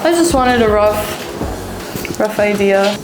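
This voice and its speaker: A woman's voice: medium pitch, measured pace. A young woman from Hungary chats loosely with a client as she works as a tattoo artist. She laughs easily and swears now and then. Her voice sits in a mid range, with a roomy echo around it and music audible behind. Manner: casual, laughs often, swears. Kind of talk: casual chat with a client. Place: tattoo studio, indoors. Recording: echoey room with music behind.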